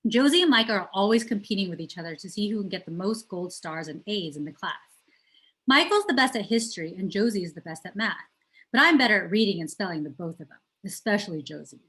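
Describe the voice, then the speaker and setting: A woman's voice: medium pitch, animated, fast, fairly bright tone, poor audio. A woman reads a children's novel aloud as a storyteller, putting emphasis on key words. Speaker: teacher reading aloud.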